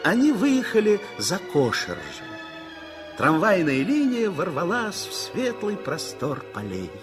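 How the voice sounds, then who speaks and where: A man narrating a 1960s radio play in an expressive, lyrical storytelling manner. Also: a little high. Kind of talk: dramatic literary narration. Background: music.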